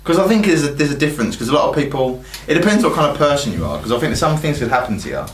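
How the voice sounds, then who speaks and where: A man's voice: on the high side, big pitch swings, racing. Laughing young man in an echoey room. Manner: jokey, laughs often, rambling asides. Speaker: co-host. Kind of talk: casual comedic chat. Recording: echoey room with faint noise.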